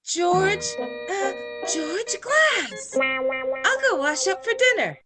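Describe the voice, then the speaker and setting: A woman acting a sensitive young schoolgirl with a very high, lively voice. She speaks unhurriedly and self-consciously, as in a family sitcom read-through. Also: extremely slow, over loud music, exaggerated voice. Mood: boastful, evasive. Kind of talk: hobby script reading of a family sitcom.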